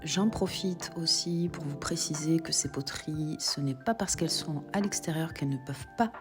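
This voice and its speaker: A woman with a low voice and a cold talks through her shop's pottery stock. She is chatty and explains the prices and sizes step by step. Music plays clearly behind her. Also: moderate pace. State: has a cold. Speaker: shop owner presenting stock. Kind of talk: product walkthrough video.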